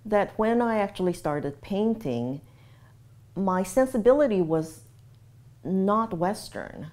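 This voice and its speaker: A woman's voice: mid-range voice, expressive, takes it slowly, darkish tone. A woman giving a reflective documentary interview about herself, speaking in a thoughtful, articulate way. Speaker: artist.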